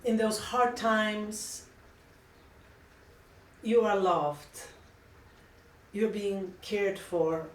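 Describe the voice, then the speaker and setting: A middle-aged woman with a Polish accent, speaking in a gentle, earnest and reflective way in a heartfelt personal vlog. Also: medium pitch, drawn-out words, pauses often, roomy sound, recorded from a distance, slight background noise, low-quality recording. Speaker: vlogger.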